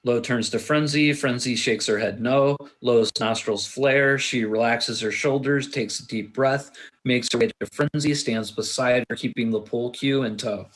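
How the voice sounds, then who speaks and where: Casting: male stage-directions reader, mid-pitched voice, narrating action for a film script read-through. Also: unhurried, fairly bright tone, poor audio.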